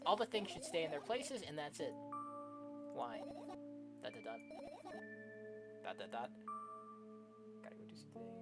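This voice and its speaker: A woman's voice: mid-range voice, very animated, a little slow, pauses often. A woman streaming a game, reading its dialogue aloud and adding playful, casual, sweary comments of her own. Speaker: gaming streamer. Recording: music behind the voice. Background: video game music.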